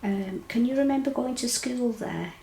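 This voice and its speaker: Mellow woman interviewer in echoey room. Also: on the high side, moderate pace, gravelly. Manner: gentle, encouraging questions with short backchannels. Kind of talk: oral history interview.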